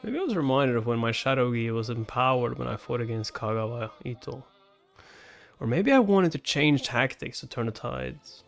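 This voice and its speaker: A man with a mid-pitched voice reads a story aloud as a live reaction host, with music behind him. He is expressive, moving between narration and casual, incredulous comments. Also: moderate pace, vocal fry. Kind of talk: live reaction read-along with casual asides. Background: background music.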